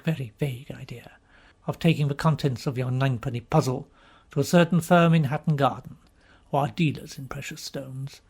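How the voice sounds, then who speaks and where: A man voicing a smug, wry gentleman who coolly needles a friend in an audiobook story, with dry, formal phrasing. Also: medium pitch, breathy edge, voice pushed down. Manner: dry, formal, unhurried teasing. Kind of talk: dramatised audiobook character reading.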